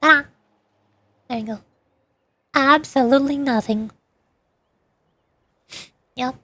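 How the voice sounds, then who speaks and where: High-voiced woman streamer. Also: slow, bright, forceful tone. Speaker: streamer, virtual YouTuber. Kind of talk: live game stream, reading dialogue aloud and chatting.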